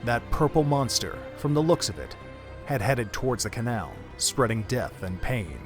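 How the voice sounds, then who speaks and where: Casting: mid-pitched male narrator, measured and steady, with faint music behind. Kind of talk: scripted audio drama narration.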